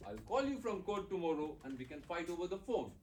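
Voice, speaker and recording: An actor playing a tired, buttoned-up young lawyer husband, exasperated and lecturing. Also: male voice, high voice, big pitch swings, fast, darkish tone, far from the mic. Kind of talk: stage play acting. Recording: echoey room, faint noise.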